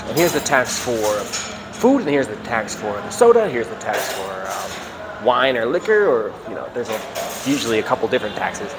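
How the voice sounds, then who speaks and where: A man's voice: mid-range voice, noisy background. An American man in his thirties, vlogging casually to a handheld camera inside a small restaurant. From United States. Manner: relaxed, chatty, gestures as he talks. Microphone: camera held at arm's length.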